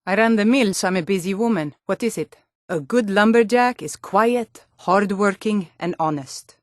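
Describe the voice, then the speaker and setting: A woman's voice: medium pitch, normal speed, dry recording, studio quality, natural voice. An actress voicing a hardy, proud Nordic townswoman in a video game, with a Nordic accent and a blunt, theatrical delivery. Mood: brusque, busy. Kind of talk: video game character voice acting.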